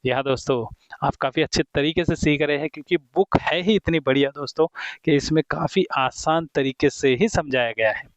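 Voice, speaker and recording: A man teaching English in Hindi who reads the English example sentences slowly and deliberately and keeps calling his viewers friends. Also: fairly high pitch, dry recording. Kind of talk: explaining a textbook lesson to viewers.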